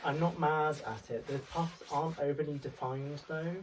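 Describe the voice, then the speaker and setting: A man's voice: fairly high pitch, fairly even intonation, normal speed, slight background noise. A young man, chatting through a clothing try-on haul in a small bedroom; he has a cold that makes him sound a little nasal, and he rambles with fillers and restarts. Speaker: fashion vlogger. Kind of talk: casual try-on haul vlog. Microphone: camera mic, moving toward and away.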